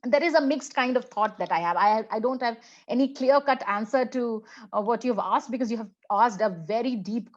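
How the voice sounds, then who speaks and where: Indian woman, English professor, conference talk, thoughtful and halting, muffled call audio. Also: fairly high pitch. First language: Hindi. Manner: reflective, halting, many fillers and restarts. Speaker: plenary speaker, English professor. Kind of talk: conference talk answering a question.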